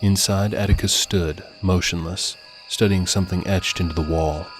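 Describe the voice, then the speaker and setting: A man's voice: sits low, fairly even intonation, moderate pace. A man reading scripted fiction as a dramatic audiobook narrator. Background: music.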